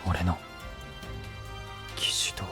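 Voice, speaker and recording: An actor playing an earnest, devoted young knight in a Japanese voice drama, speaking in a deliberate, halting way. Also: male voice, close to an everyday voice. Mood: solemn resolve. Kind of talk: Japanese voice drama acting, monologue. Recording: music behind the voice.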